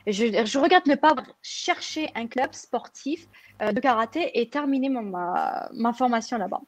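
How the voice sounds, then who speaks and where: A woman's voice: a little high, lively intonation, moderate pace, no room sound. A young woman from Algeria answering interview questions, hesitant with many fillers and restarts. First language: French. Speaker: guest pharmacist.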